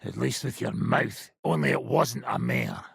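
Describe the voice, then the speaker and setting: A man voicing a gruff, sardonic middle-aged mercenary bandit in a video game, with a raspy, gravelly voice and a blunt, coarse, mocking manner. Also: fairly low pitch, very animated, a little slow, fairly bright tone, no room sound, studio quality, exaggerated voice. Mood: sardonic, scheming.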